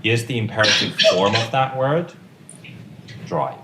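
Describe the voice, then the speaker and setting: A man's voice: fairly low pitch, animated, a little slow. A man whose first language is Finnish, giving a technical tutorial at a conference in an informal, joking way. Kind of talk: technical lecture. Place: conference room. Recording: echoey room with faint noise.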